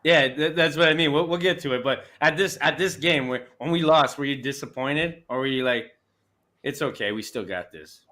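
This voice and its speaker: A man's voice: medium pitch, moderate pace, sharp tone. A young Canadian man hosting a casual sports chat show, talking in loose rambling restarts with easy laughs.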